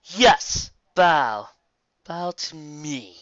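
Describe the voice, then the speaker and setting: Storybook villain: sinister dark alicorn mare, taunting and clever, put on by a male reader. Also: a little high, animated, dry recording, highs cut off, pitched above the performer's own voice, exaggerated voice. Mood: mocking. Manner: hissing, taunting, slow and cold.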